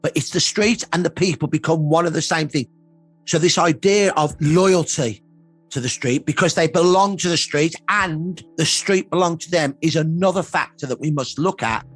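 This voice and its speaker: A husky-voiced man speaks as a historian in a documentary interview. He explains emphatically, repeating phrases for stress, over faint music. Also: on the high side, normal speed. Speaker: historian, expert commentator. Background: faint background music.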